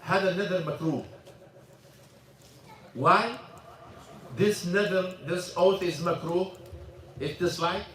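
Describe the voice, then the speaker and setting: High-voiced imam teaching in an echoey mosque. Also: male voice, a bit bright, slight background noise. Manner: halting, repeats words, switches into Arabic. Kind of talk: informal religious lesson with questions. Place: mosque classroom. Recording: echoey room, mic at a distance.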